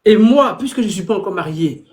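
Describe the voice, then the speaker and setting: A man's voice: high-pitched, animated, fairly quick, darkish tone. A man talking earnestly as an interview guest, often repeating phrases to stress his points. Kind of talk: interview over a call.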